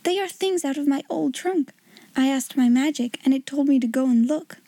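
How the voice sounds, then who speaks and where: An imaginative young girl, voiced by a woman reader, talks in a high, mellow voice. Her manner is dreamy and grandly polite, like a storybook child playing princess. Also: normal speed, no echo. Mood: pleased.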